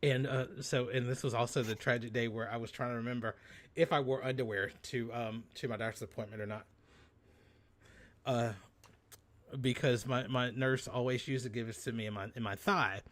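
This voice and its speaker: A man co-hosting a podcast in casual, bawdy chat, telling stories in a sassy, animated way. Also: mid-range voice, moderate pace. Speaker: podcast co-host.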